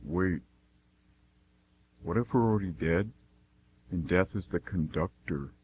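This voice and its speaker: A man's voice: low-pitched, a little slow, phone-quality sound, slight background noise. A man playing a sarcastic, skeptical and somewhat nervous friend who wisecracks, in an amateur radio drama.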